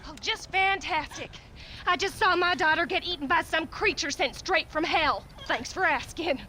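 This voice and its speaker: A bitter frontier wife in a Western game. Her voice is piercingly high and sharp, full of scolding scorn, with faint noise behind it. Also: normal speed. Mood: sarcastic. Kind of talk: comic video game cutscene acting.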